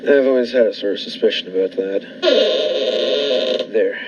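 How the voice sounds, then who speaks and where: A man playing a sinister, falsely solicitous captor in a 1940s-style radio thriller, insinuating and unhurried. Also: fairly low pitch, a little mellow, natural voice. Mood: insinuating menace. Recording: dull lo-fi recording, faint noise.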